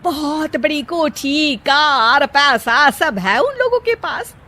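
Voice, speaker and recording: A woman voicing a scheming, melodramatic middle-aged aunt with a drawn-out, wheedling delivery in a moral story. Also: high voice, expressive, bright, forceful tone, pitched above the performer's own voice, exaggerated voice. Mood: sly, wheedling. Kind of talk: Hindi moral story dramatization.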